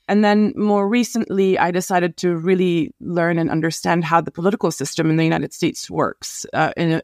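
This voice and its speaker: A middle-aged woman from Palestine, a guest activist answering interview questions in an earnest, measured way with frequent fillers and restarts. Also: lowish voice, no echo, studio quality.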